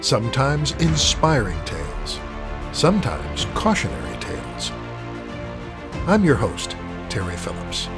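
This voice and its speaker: A man delivers a scripted anthology introduction as host, with music playing behind him. His delivery is measured and dramatic, swinging widely in pitch. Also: huge pitch swings.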